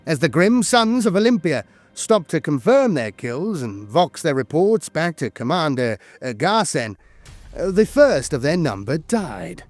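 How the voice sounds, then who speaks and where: A man's voice: a little high, very animated, a little slow, dry recording, soft background music. A man delivering dramatic scripted lore narration in a solemn, grandiose manner.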